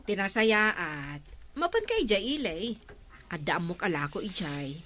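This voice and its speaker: An actress playing a gossipy, teasing village neighbour in a radio comedy drama, with an Ilocano accent. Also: female voice, medium pitch, big pitch swings, unhurried, fairly bright tone.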